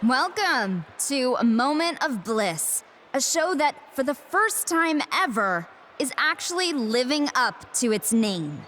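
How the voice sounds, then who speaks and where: A woman's voice: high-pitched, very animated, takes it slowly, hardly any pauses, a bit bright, a little hiss or hum, heavily acted. A woman playing an arrogant, condescending villainous wrestling champion in a video game. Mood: smug, mocking. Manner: smug, condescending, boastful. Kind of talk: scripted video game cutscene acting.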